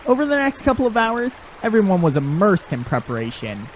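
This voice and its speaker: A man's voice: high-pitched, huge pitch swings, a little fast, slight background noise. A man reading scripted narration for an audio drama as its narrator.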